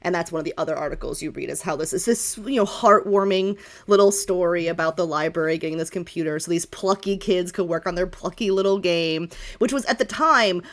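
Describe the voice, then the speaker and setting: A woman's voice: on the low side, a little fast, few pauses. A woman game master improvising narration for a tabletop game, talking informally and often doubling back to correct herself. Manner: informal, rambling, self-correcting.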